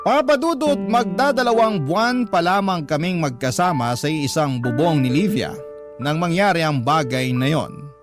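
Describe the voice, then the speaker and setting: A man's voice: a little high, animated, normal speed. A man narrating a first-person love story as a radio storyteller, in a dramatic scripted style. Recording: prominent music bed behind narration.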